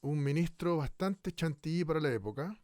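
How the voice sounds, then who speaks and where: A man narrating a radio documentary in a measured, questioning way. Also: a little high, expressive, darkish tone, no echo, clean studio sound.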